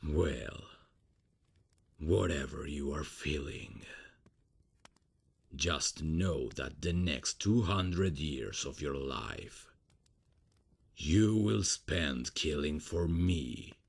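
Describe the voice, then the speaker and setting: Male, actor as domineering vampire patriarch, very husky and creaky, slow commanding menace for dark audio roleplay. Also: a bass voice, heavily acted. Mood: taunting, commanding. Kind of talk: dramatic ASMR audio roleplay.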